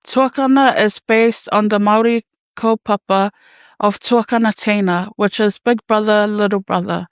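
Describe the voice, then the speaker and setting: A woman working as a university's Pacific student success advisor, giving a measured, formal scripted welcome. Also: mid-range voice, clean tone, no room sound, clean studio sound.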